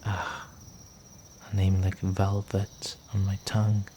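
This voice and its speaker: A man plays an ancient, flirtatious vampire noble in an intimate fantasy audio roleplay. His low voice moves slowly and stays very even, courting the listener with formal, teasing seduction and quiet menace. Music plays behind him. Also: a little hiss or hum. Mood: seductive, teasing.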